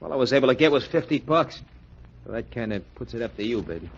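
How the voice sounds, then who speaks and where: Cocky, animated radio-drama hustler, muffled recording. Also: male voice, medium pitch, quick pace, gravelly, breathy edge. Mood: scheming. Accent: American. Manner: slangy, brash, sweet-talking. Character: cocky, smooth-talking small-time male hustler and dancer. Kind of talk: old-time radio crime drama acting.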